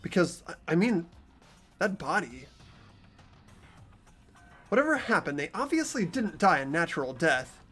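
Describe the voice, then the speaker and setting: A man plays an earnest teenage protagonist from a video game. His voice is fairly high and very animated, full of anxious questioning, with faint music behind. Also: a little slow, pauses often, heavily acted. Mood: puzzled. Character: earnest, anxious teenage boy narrating a mystery. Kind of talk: amateur voice acting of a video game.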